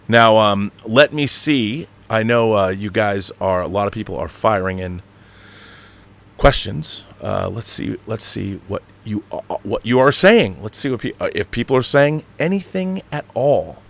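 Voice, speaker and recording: A man's voice: fairly low pitch, expressive, a little slow, vocal fry. A man hosting a casual livestream, rambling with emphatic, opinionated fan enthusiasm and the odd casual swear. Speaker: online show host. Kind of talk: casual livestream monologue and chat reading.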